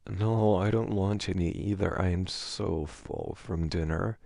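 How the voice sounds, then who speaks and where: A man's voice: sits low, a little slow, creaky, warm tone, dry recording, studio quality. A man performing a casual boyfriend roleplay, speaking in a hesitant, conversational, self-deprecating way as an awkward, amiable partner.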